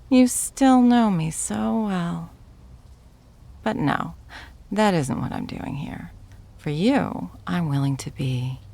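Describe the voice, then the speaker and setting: A woman playing a possessive, coldly menacing yet cloyingly sweet corporate ex-girlfriend in an intimate ASMR roleplay, taunting with drawn-out endearments and breathy whispers. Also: fairly low pitch, a little mellow, full character voice. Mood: coaxing, teasing.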